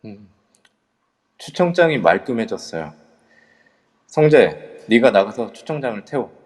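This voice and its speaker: A man playing a male kendo instructor who leads a ghost-hunting group, calm and in charge. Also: medium pitch, unhurried, slightly rough, big-room sound. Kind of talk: amateur audio drama acting.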